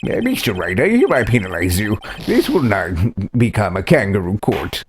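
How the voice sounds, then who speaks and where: A man's voice: fairly low pitch, huge pitch swings, normal speed, a little hiss or hum. A man voicing a hesitant, stern elderly courtroom judge for a video game, stammering in formal phrases.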